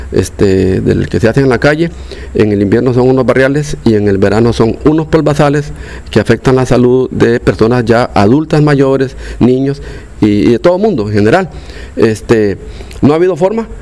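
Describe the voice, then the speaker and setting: A man's voice: mid-range voice, vocal fry, mellow. An older man from Costa Rica with a Costa Rican accent, a local resident interviewed outdoors, speaking with many fillers and restarts. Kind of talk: field interview, casual. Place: outdoors in a neighborhood street.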